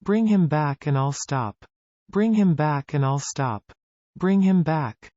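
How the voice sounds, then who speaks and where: An actor playing a troubled research subject in an analog horror drama, recounting childhood memories in a solemn, deliberate way. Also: male voice, on the high side, expressive, mellow, dry recording, highs cut off. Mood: desperate, angry. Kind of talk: analog horror found-footage acting, narrative monologue.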